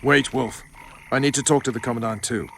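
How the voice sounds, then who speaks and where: A man's voice: mid-range voice, brisk, vocal fry, a little hiss or hum, natural voice. A man voicing a proud, roguish military officer, commanding and sardonic, in a video game. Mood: sly, urgent.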